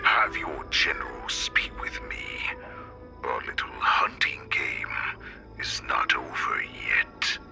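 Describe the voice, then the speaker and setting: An actor voicing a menacing, mocking wolfish beast-man warlord with a deep gravelly voice, slow and taunting. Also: big pitch swings, exaggerated voice. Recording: music behind.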